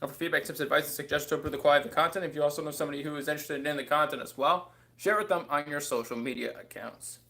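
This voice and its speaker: A man's voice: fairly high pitch, rapid-fire, vocal fry, pushed and bright. A man in his thirties hosting a casual livestream from a small bedroom, rambling with lots of fillers into a desk webcam. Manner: rambling, many fillers and "too". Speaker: livestream host. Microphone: webcam on desk, close.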